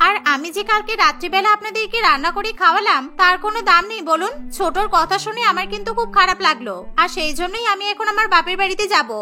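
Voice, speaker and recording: A crafty, spoiled elder daughter-in-law from a cartoon voiced by a woman, whiny and theatrical. She is extremely high and sharp in tone, over music. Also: fast talker, few pauses, heavily acted. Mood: whiny, offended.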